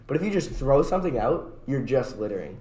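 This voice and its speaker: A man's voice: mid-range voice, sing-song, brisk, darkish tone. A man co-hosting a podcast, arguing his opinions in casual debate among friends. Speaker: podcast co-host. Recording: echoey room with background noise.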